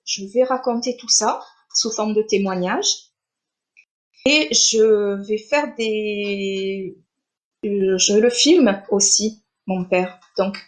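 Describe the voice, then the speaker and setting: A middle-aged woman born in Armenia, an author chatting on a live stream, speaking with many pauses, fillers and restarts. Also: medium pitch. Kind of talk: casual live-stream interview.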